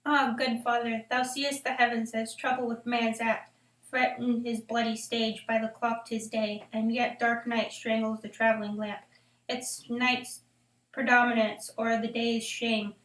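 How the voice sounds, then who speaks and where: A grave, respectful noble, played by a woman recites Shakespearean radio verse with very flat intonation. The recording is echoey and distant from the microphone. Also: on the high side. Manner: even, measured recitation. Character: grave, respectful noble reading dark omens. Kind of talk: Shakespearean radio play acting.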